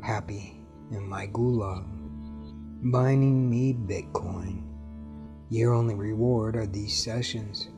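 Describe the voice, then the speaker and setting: A man speaks in a slow, deliberate, commanding drawl, his voice sitting on the low side. He repeats his phrases like a hypnotic chant and sinks to near-whispers now and then. Guitar music plays clearly beneath him. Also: steady tone. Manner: commanding, repetitive, hushed in places. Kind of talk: slow hypnotic domination monologue.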